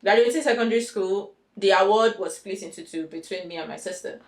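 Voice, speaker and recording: A woman from Nigeria with a Nigerian accent, a guest telling her story in a relaxed, animated way. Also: medium pitch, a little fast, roomy sound, low-quality recording. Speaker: guest software engineer. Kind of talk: casual interview chat.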